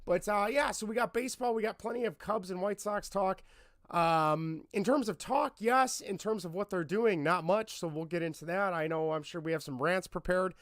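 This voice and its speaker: A podcast host in his thirties with a fairly high voice, roughened and hoarse from laryngitis. He talks in a chatty, rambling, self-deprecating way. The sound is dry and close. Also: normal speed, fairly bright tone, no room sound. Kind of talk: casual podcast chat.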